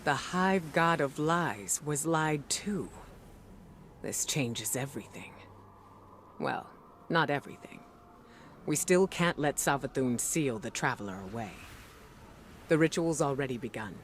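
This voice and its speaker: An actor playing a resolute, earnest commander in a video game, speaking with determined, dramatic urgency. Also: male voice, a little high, animated, a little slow, long pauses, fairly bright tone, a little hiss or hum. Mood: urgent. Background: music.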